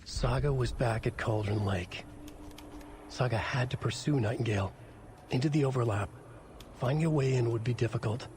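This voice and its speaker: A man's voice: medium pitch, normal speed, long pauses, background noise, natural voice. A man playing a brooding narrator who reads ominous thriller prose in a video game. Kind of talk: dramatic scripted narration.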